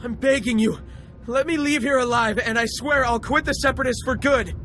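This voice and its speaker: An actor playing a cowardly, anxious rebel soldier in a video game, pleading to survive. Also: male voice, extremely high pitch, brisk, fairly bright tone, slight background noise. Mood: terrified pleading.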